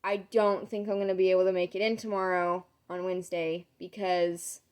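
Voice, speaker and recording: Young woman, hoarse from a sore throat, rambling vlog chat, smooth tone underneath. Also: on the low side, little pitch movement, normal speed, darkish tone. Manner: rambling, many "um"s, retells conversations. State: losing her voice, sore throat. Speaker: vlogger. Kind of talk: casual catch-up storytelling to camera.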